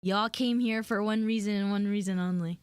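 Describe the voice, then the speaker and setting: A woman's voice: medium pitch, fairly even intonation, normal speed, clean tone, no echo, clean studio sound. A woman livestreamer talking casually and expressively to her viewers in informal, exclamatory storytelling. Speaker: VTuber livestream host. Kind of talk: live roleplay chat with viewers, casual storytelling.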